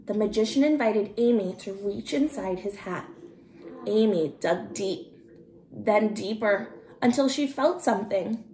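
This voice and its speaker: A middle-aged woman reading a children's story aloud expressively, with deliberate, emphatic phrasing. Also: medium pitch, mellow. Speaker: storybook reader. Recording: roomy home recording with noticeable hiss.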